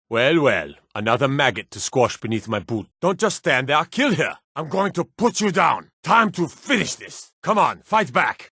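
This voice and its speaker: An actor playing a gruff, aggressive male guard and soldier in a fantasy video game, rough-voiced, blunt and theatrical in delivery. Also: medium pitch, sharp tone, no room sound, studio quality, heavily acted. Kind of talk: video game combat barks and warnings.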